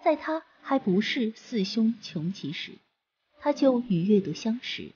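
Woman narrator, breathy, slow, expressive storybook reading. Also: on the high side, dull audio. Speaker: audiobook narrator.

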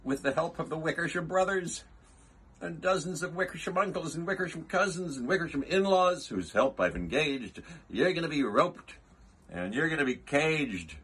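Man playing a snappish, husky, bossy mother kangaroo. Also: on the high side, animated, normal speed, fairly bright tone, voice pushed up, heavily acted. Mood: menacing. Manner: snappish, haughty. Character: big bossy scornful female kangaroo.

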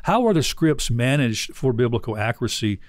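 Male host, fifties. Mid-pitched, measured and earnest, very dry studio sound. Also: big pitch swings, no echo. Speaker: interview host. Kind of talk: conversational interview. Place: small indoor studio. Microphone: close studio microphone.

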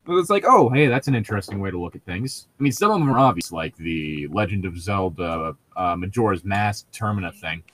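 A man's voice: deep voice, expressive, brisk, hardly any pauses, a bit bright. A man co-hosting a read-along show, chatting casually in an opinionated, rambling, sweary way. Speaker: co-host of a group read-along commentary show. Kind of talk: casual chat and mocking commentary.

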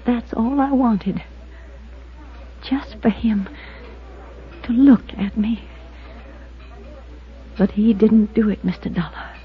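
A woman acting as a lonely, prim, flustered farmer's wife in a 1950s radio drama, speaking in a halting, wistful, emotional way. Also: a little high. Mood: pleading, hurt. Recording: muffled 1950s radio recording.

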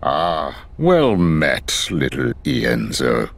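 Elderly game mentor: very deep, gravelly, slow and dignified. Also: male voice, wildly swooping pitch, heavily acted. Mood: solemn. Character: wise, repentant old male mentor. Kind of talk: video game voice acting.

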